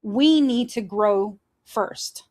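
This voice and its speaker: A woman hosting a candid conversation, chatty and animated, quick to jump in and laugh. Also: medium pitch. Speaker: host.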